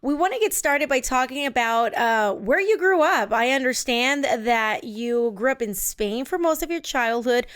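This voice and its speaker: A woman's voice: sits high, lively intonation, moderate pace, hardly any pauses, fairly bright tone, clear, resonant tone. A woman co-hosting a podcast, asking friendly, curious interview questions. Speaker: podcast co-host. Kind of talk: casual interview conversation.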